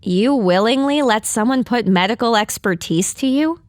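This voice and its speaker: A woman's voice: on the high side, moderate pace, no echo, lightly acted. A woman playing a young, sardonic female pony in an audio drama, with a blunt, wry way of talking. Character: young female unicorn pony, sardonic, not a morning person.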